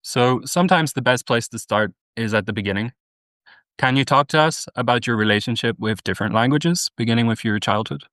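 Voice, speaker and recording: A man hosting a podcast interview, giving a formal introduction and asking measured questions. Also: medium pitch, a bit bright, no echo. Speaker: podcast host.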